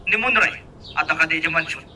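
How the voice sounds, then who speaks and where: Man acting defensive, radio drama, high pitch, very bright tense tone, slightly distant. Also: a little fast. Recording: distant mic with faint noisy ambience.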